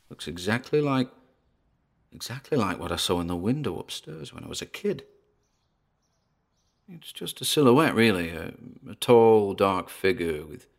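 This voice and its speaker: An actor as a low, gravelly paranormal investigator. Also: male voice, exaggerated voice. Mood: unsettled, baffled. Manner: hesitant, muttering observations with sighs and trailing asides. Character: uneasy male paranormal investigator narrating his exploration on tape. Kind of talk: audio drama found-recording monologue.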